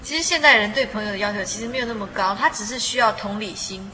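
Quick-talking woman host in long reverb. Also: mid-range voice, pushed and bright. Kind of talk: casual conversational discussion. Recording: long reverberant tail, music underneath. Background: background music.

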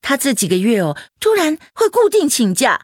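A high, very animated young office gossip in a radio drama. She speaks conspiratorially, drawing out the ends of her phrases, in a dry, close recording. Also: slightly rough, no echo, exaggerated voice. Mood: gleeful, scandalized. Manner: animated, conspiratorial, drawn-out sentence endings. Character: gossipy young female office worker spreading scandal.

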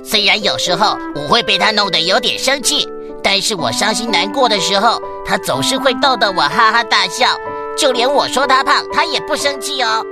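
A woman's voice: mid-range voice, expressive, normal speed, lightly acted. A woman playing a good-natured schoolchild reading a composition aloud in a radio play, with a Taiwanese Mandarin accent. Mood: fond. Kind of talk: children's radio play acting. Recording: loud music behind the voice.

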